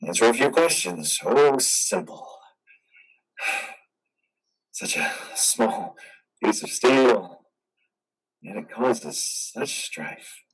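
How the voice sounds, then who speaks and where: A man playing a brooding, guarded, grieving veteran in an amateur stage play reading, with a dramatic, halting delivery. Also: medium pitch, lively intonation, slightly rough, far from the mic, full character voice, low-quality recording. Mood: brooding, bitter. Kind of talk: amateur reader's theater over video.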